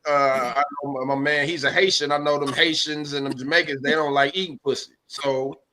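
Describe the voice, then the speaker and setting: A man co-hosting a casual podcast chat, speaking haltingly with many restarts and fillers. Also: a little high, steady tone, a crowd in the background, low-quality recording. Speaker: podcast co-host.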